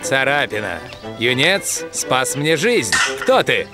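A man playing a gruff, loyal adult dog musketeer in a dubbed cartoon. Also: medium pitch, very animated, takes it slowly. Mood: grateful. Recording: old cartoon dub, loud music behind.

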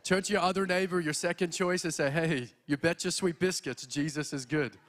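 A young man from Australia with an Australian accent, preaching an energetic sermon as a guest speaker from a church stage into a handheld mic. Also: fairly high pitch, fairly quick. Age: young adult. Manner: energetic, exhorting, walks the stage.

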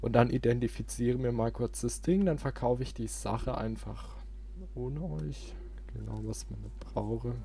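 A man's voice: medium pitch, unhurried, mellow, a little hiss or hum. A man giving casual gameplay commentary as a let's play host, rambling and thinking aloud. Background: game music.

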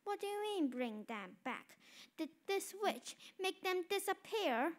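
A woman's voice: extremely high pitch, sing-song, unhurried, full character voice. A girl playing a concerned schoolgirl. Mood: puzzled. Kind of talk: school radio drama.

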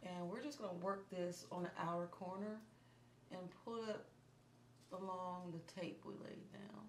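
A slightly hoarse woman in her thirties walks viewers through a makeup tutorial in a calm, instructive voice that drops toward a murmur as she works. Her voice sits fairly low. The small room around her sounds echoey, with a steady hiss underneath. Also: measured pace. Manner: calm, instructive, softens to near murmur while working. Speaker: beauty vlogger. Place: small indoor room against a plain wall.

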